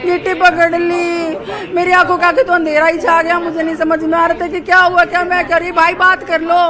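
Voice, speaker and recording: A woman's voice: extremely high pitch, flat delivery, fairly quick, a crowd in the background. An older woman, a bereaved mother, giving a distraught, tearful eyewitness account in an interview.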